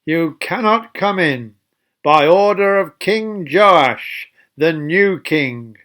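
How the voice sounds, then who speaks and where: A man voicing a stern soldier in command for a children's story, emphatic and drawn-out. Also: a little high, animated, fairly bright tone. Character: commanding officer, stern loud male soldier barring the way.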